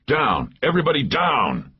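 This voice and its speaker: A man's voice: low voice, lively intonation, moderate pace, slightly rough, lo-fi sound. A man voicing a tough military man in an audiobook thriller, speaking in clipped, emphatic bursts. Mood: alarmed. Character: tough military man, startled, barking warnings.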